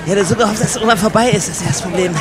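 A man's voice: sits high, racing, over loud music, over a noisy crowd, lightly acted. A man playing a suffering, groaning man having a comic heart attack in an audio play, whiny and exasperated. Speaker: protagonist and narrator of an audio play. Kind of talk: comic radio play acting.

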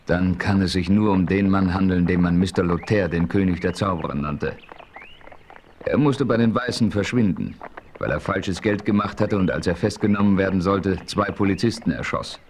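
An actor as the first-person frontier narrator of a 1970s radio play, clear and matter-of-fact. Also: male voice, low voice, normal speed, slight background noise. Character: adventurous frontiersman narrator, man.

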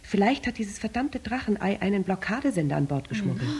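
An actress playing an uneasy, suspicious astronaut in a science fiction radio drama, grumbling and dramatic. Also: female voice, mid-range voice, sing-song, fast, vocal fry, a little mellow, breathy edge, exaggerated voice. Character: suspicious, uneasy female astronaut crew member.

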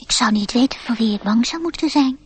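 Husky high-voiced little mouse. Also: female voice, expressive, fairly quick, dry recording. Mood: prim, admonishing. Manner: formal, matter-of-fact. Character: small talking mouse, formal, frank, scornful of humans. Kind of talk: radio drama acting.

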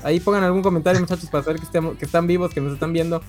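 A man's voice: on the high side, steady tone, very fast, darkish tone, faint music behind. Rambling Mexican man hosting a stream. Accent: Mexican Spanish. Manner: rambling, restarts often, self-correcting. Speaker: podcast host. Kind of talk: casual comic-book chat.

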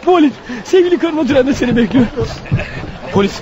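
Radio play lead: wounded runaway, very high strained pitch, breathless urgent muttering, dull-sounding recording. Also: male voice, animated, brisk, a crowd in the background. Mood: frantic. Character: desperate wounded fugitive man, exhausted, racing to his wife. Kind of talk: radio theatre acting, inner monologue. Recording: muffled radio drama recording. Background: music underneath.

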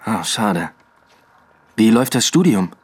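Husky, terse police inspector. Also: male voice, on the low side, big pitch swings, a little slow, full character voice. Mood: warm, playful. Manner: terse, questioning, wry. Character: dry, probing male police detective inspector. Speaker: actor in a radio play. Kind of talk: radio drama dialogue.

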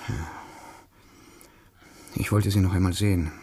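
An actor playing an anxious, weary ex-soldier burdened by guilt, with a husky voice, in a radio drama. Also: male voice, deep voice, flat delivery, a little slow, warm tone, full character voice. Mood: despairing.

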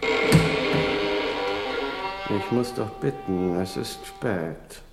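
A self-important, suspicious lodger in a radio drama. His low, gravelly voice insists and grumbles, ringing through a reverberant hall. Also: drawn-out words, over music. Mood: grudging. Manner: insistent, grumbling, emphatic short sentences. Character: gaunt, suspicious, self-important lottery-dreaming male hotel lodger. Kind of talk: German radio play acting. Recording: echoey hall with long reverb.

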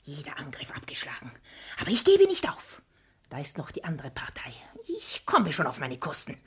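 A scheming matchmaker in a German radio play. Her voice is husky and swoops widely as she wheedles in a theatrical manner. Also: sits low, normal speed, in a whisper, heavily acted. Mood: spiteful, defiant. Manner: gushing flattery, theatrical swings.

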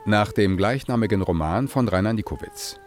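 A woman's voice: extremely low pitch, wildly swooping pitch, normal speed, a little hiss or hum. A woman announcing radio drama titles in a scripted style.

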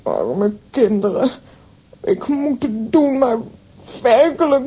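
Husky, high-voiced dramatic aunt. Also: female voice, lively intonation, slow, warm tone, full character voice. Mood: anguished, dramatic. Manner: theatrical, exclamatory. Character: fierce, dramatic, easily agitated aunt Sidonia. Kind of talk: children's audio play acting.

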